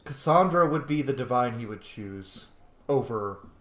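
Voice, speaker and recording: A man's voice: medium pitch, expressive, a little slow, a bit bright. A man giving casual, reflective let's play commentary, thinking aloud as he goes. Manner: reflective, thinking aloud, mimics opinions in quotes. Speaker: gamer, roleplay host. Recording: roomy, echoing room sound.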